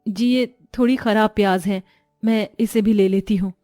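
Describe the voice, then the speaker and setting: A woman playing a kind village mother and wife in a cartoon story, speaking in a gentle, earnest way. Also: medium pitch, steady tone, normal speed, no echo, soft background music, natural voice. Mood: kind, practical.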